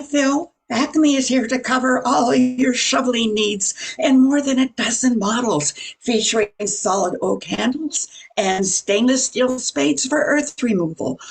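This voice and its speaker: An older woman playing a bright, perky announcer selling products in an old-time radio show. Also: fairly high pitch, vocal fry, slightly rough, exaggerated voice. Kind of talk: 1940s radio advertising.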